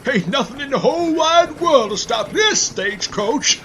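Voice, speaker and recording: High-pitched, rambling old frontier guard. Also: male voice, animated, moderate pace, noisy background. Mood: cheerfully chatty. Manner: rambling, folksy frontier drawl. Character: garrulous, folksy old stagecoach shotgun guard. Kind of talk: Western audio drama acting.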